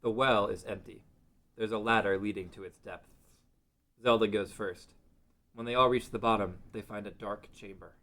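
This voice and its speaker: Low-voiced man narrating a fantasy story. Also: steady tone, normal speed, sharp tone.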